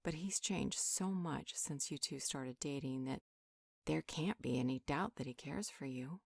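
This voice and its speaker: A woman's voice: deep voice, a little slow, vocal fry, a little mellow, husky, dry recording, clean studio sound, full character voice. A woman playing a nurturing, protective magical healer, speaking in a soothing, affectionate, informal way in a roleplay audio drama. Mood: reassuring, reasoning.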